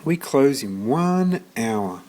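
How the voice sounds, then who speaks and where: Man voicing a sceptical, businesslike shelter woman, animated. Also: medium pitch, slow, voice pushed up. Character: doubtful, matter-of-fact woman at dog shelter office.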